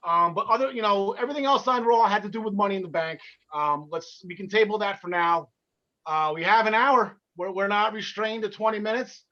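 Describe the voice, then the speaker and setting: A man with a North Jersey accent rattles through his thoughts on the show at speed, tripping over his words and restarting. His voice sits high and sounds bright and edgy. The recording is muffled and lo-fi. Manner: fast-talking, excitable, stumbling restarts. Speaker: podcast co-host. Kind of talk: casual wrestling chat.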